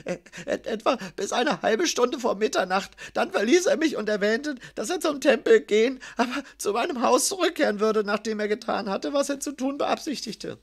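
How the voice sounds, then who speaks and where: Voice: mid-range voice, lively intonation, moderate pace, vocal fry, few pauses, voice pushed up. A performer voicing a terrified, servile male scribe who whines, sobs and stammers in an audiobook story. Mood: frightened, stammering. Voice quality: whining, sobbing, stammering.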